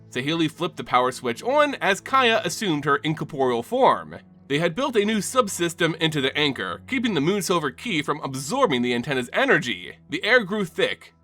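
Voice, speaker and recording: A man with a fairly high, lively voice narrates fantasy lore in a dramatic, scripted style. His pitch moves around a lot as he tells it like an expressive storyteller. Soft music plays behind him. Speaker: host of a fantasy game lore channel.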